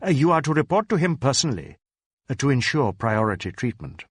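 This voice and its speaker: A man's voice: medium pitch, big pitch swings, fairly quick, slightly rough, no echo. A man voicing a dutiful, deferential Indian police inspector in an audiobook, formal and stubbornly earnest, with an Indian accent. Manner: formal, stiffly polite, officialese.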